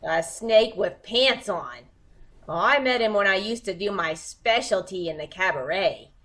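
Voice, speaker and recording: Woman acting excitable lovesick gangster moll, swooping voice. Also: medium pitch, measured pace. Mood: scornful. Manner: slangy, melodramatic. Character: cute, tough, lovesick female would-be killer, excitable gangland moll. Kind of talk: old-time radio comedy-mystery acting.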